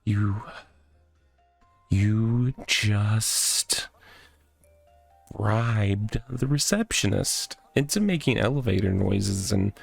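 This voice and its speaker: A man voices an oddball box-dwelling guy from a comic visual novel. He rambles haltingly and matter-of-factly in a low, creaky voice, over faint music. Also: dry recording. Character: odd, rambling, deadpan-joking man living in a box.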